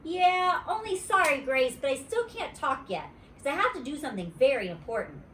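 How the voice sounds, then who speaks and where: A woman voicing an excitable, chatty little schoolgirl storybook character, insistent and gushing, with childish grammar. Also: high-pitched, normal speed, voice pushed up. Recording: roomy, distant mic, faint noise.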